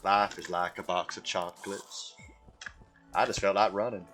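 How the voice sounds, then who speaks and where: A man voicing a slow, simple, earnest Southern American man with a folksy drawl while chatting on a gaming livestream. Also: low voice, steady tone, fairly bright tone. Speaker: video game streamer. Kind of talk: livestream gaming chat held in a put-on voice. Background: music.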